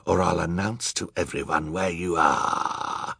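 A menacing, gleeful villain count from a storybook, voiced by a male narrator. He schemes and gloats theatrically in a low voice with big swings of pitch, recorded close and dry. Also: big pitch swings, unhurried, sharp tone, slightly rough, no room sound, heavily acted. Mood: threatening whisper. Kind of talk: audiobook character acting.

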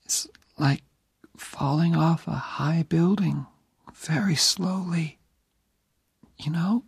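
A man voicing a dying young woman who speaks in a weak, breathy, teasing whisper. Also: a little high, slow pace, no echo, voice pushed up, exaggerated voice. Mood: fragile.

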